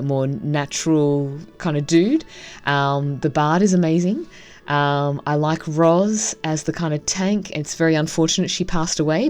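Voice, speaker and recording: Low-voiced woman giving gushing game commentary. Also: darkish tone. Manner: gushing, enthusiastic. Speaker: game streamer reviewing a visual novel. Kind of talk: casual first-impressions commentary.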